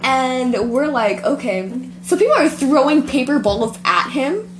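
A woman's voice: sits high, lively intonation, unhurried, slight background noise, rough recording. A teenage girl hosting a homemade podcast, rambling through a school story with lots of giggling. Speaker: podcast host. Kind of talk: casual chat.

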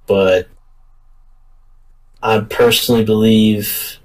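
Slow, low-voiced man, distant mic. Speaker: sports podcast host. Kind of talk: casual sports talk.

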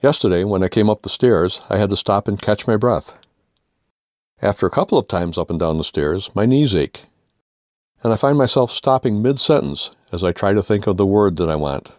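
Low-voiced older American man reading. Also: expressive, moderate pace, a little mellow, no room sound, studio quality. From United States. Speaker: author reading own humorous essay. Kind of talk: scripted humorous first-person narration.

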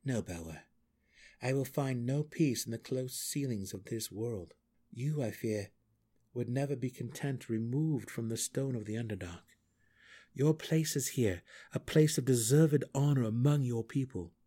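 A man voicing a resolute, brooding male dark elf exile in a fantasy audiobook, speaking earnestly and formally with defiance. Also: medium pitch, animated, unhurried, husky. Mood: solemn, determined. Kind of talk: fantasy audiobook character acting.

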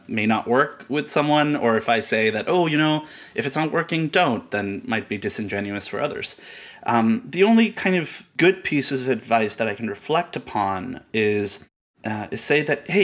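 A man's voice: medium pitch, a bit bright. A man in his thirties from Pakistan, a podcast guest giving reflective, hesitant answers with many fillers. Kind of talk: casual interview answers.

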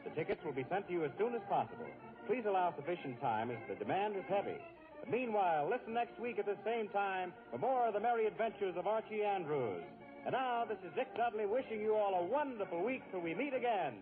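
A man's voice: sits high, lively intonation, fast talker. A man with an American accent announcing and narrating from a script in 1940s radio style. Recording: tinny 1940s radio broadcast recording. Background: music.

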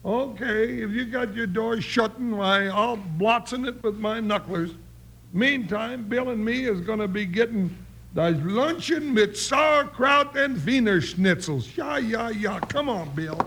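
An actor playing a loyal, folksy, slow-witted cowboy sidekick in an old radio western, with an American accent and a gravelly voice. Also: male voice, sits high, a bit bright, full character voice, rough recording. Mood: jovial. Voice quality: gravelly, creaky. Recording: hissy old radio broadcast.

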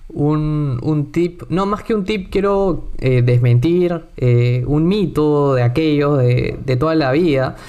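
A man hosting a casual chat, telling travel stories in an animated, joking way. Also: fairly high pitch, slow pace, few pauses, clean tone. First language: Spanish. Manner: chatty, animated storyteller with playful asides.

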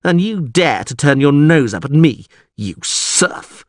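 A man's voice: medium pitch, lively intonation, measured pace, husky, dry recording, clean studio sound, voice pushed up. A man voicing an agitated, haughty nobleman in an audiobook, with a strained, raised voice. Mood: furious, scornful. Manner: sneering, blustering, agitated. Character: haughty, furious nobleman, frightened and pleading when bound. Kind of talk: audiobook character acting.

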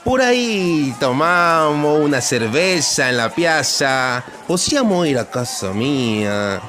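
Man playing a coaxing, slow-talking Italian seducer. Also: a little high, sing-song, music in the background. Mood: seductive, coaxing. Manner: coaxing, mixing Italian words into Spanish. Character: sweet-talking Italian immigrant seducer, a scoundrel. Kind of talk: radio soap opera acting.

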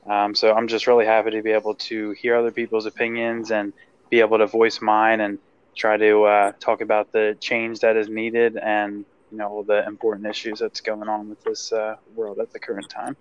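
A man from Pennsylvania, a returning podcast guest, speaking hesitantly with many pauses. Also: on the low side, completely flat delivery, smooth, dull audio. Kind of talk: casual podcast chat.

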